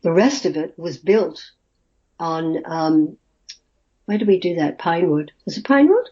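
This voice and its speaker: A woman's voice: fairly low pitch, expressive, measured pace, mellow, husky. Older woman telling stories over a muffled call. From Australia. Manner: anecdotal, chatty, laughs easily. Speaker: guest actress. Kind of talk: casual interview chat. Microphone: video call. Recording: muffled video call audio.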